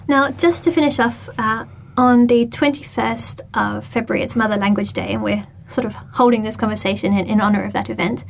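A woman's voice: a little high, steady tone, a little fast, creaky, hardly any pauses. A woman hosting a panel discussion, guiding guests with encouraging remarks. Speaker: radio host.

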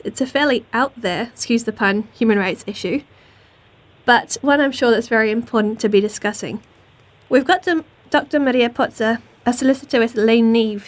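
Brief: New woman, higher voice, friendly radio interviewer. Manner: friendly, plain questions with light humour. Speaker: radio host.

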